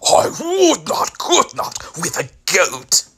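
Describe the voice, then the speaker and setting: A man's voice: sits high, huge pitch swings, slow pace, fairly bright tone, slightly rough, heavily acted. A man voicing a stubborn, grumpy storybook creature who refuses food with exasperated emphasis. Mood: outraged.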